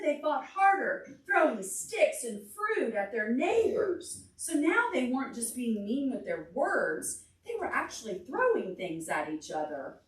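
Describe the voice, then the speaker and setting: A woman leads a preschool storytime, reading aloud with lots of rise and fall in her voice. She goes slowly and stops to explain things simply or put questions to the children. She sounds distant from the microphone in a large room. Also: high voice. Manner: expressive, simple explanatory way of talking to small children. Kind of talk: reading a picture book aloud to young children, pausing to ask questions. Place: large carpeted indoor library meeting room. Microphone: distant camera microphone. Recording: roomy, picked up from across the room.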